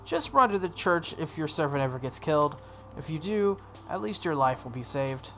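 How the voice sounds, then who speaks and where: A playthrough host voicing a sharp-tongued, haughty teenage girl mage from a visual novel, brisk and scolding. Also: medium pitch, sing-song, slight background noise. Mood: grudging advice.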